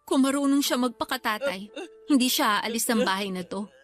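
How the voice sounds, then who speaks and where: An actress playing an upset young daughter in a Filipino radio drama, respectful but firm, earnest and emotional. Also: female voice, a little high, fairly quick, soft background music, close to an everyday voice. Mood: hurt, firm.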